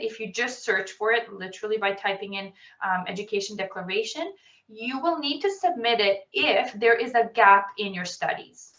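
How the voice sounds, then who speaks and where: A woman's voice: mid-range voice, bright, forceful tone. A woman admissions officer explaining rules methodically in a webinar, with frequent ums, over a video call. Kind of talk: webinar presentation. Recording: roomy, distant, dull video-call audio.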